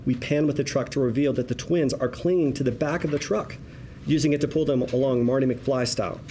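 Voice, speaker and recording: A man's voice: medium pitch, a little fast. A man reading stage directions at a script table read, with a vivid, wry storytelling manner. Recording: table read room with background noise.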